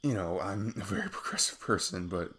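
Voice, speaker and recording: A man playing a sweet, nervous best friend in an intimate ASMR roleplay, halting and self-deprecating as he opens his heart. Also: deep voice, animated, a bit bright. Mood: earnest, rambling.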